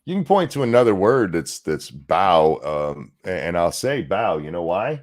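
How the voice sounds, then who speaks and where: Deep-voiced sarcastic man ranting. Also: very animated, unhurried. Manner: sarcastic, swears freely, jumps from dry reading to mocking asides. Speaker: co-host. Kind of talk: casual political commentary chat.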